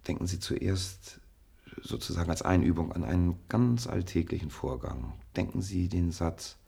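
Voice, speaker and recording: A methodical doctor of science in a radio play. His low voice is gravelly and husky, and he explains everything in measured, sober phrases. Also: dark tone, heavily acted. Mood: measured, clinical. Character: sober, methodical male scientist running a brain experiment. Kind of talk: German radio play acting.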